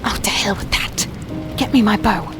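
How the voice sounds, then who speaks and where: A woman acts as a feisty fantasy archer wife with a mid-pitched voice. She is blunt, stubborn and expressive yet caring, over music in a dark audio drama. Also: moderate pace, natural voice. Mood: defiant.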